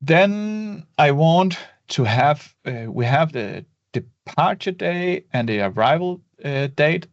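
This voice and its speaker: A man narrates a screen-recorded spreadsheet lesson in a relaxed, step-by-step way, often pausing on fillers. His voice sits at an ordinary middle pitch. The recording is dry with no room sound, and a little dull in tone. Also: creaky, no echo. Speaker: Excel tutorial instructor. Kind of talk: screen-recorded software tutorial. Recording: dry, slightly dull voice-over.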